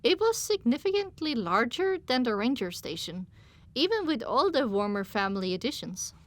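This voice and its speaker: A woman's voice: on the high side, big pitch swings, moderate pace. A woman reading scripted first-person story narration as an audiobook narrator.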